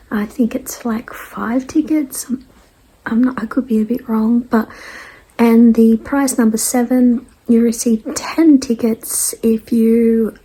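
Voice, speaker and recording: Slow, croaky woman recovering from a cold. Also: fairly high pitch, dark tone, rough recording. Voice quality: dry, croaky. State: recovering from a cold, throat-clearing. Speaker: artist hosting a weekly message show. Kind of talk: casual vlog chat to followers.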